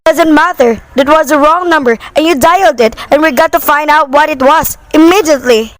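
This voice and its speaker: A woman's voice: sits high, brisk, dry recording, close to an everyday voice. A woman playing an anxious, demanding, sickly wife in an amateur radio play, speaking in an agitated, imperious way. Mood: impatient, demanding.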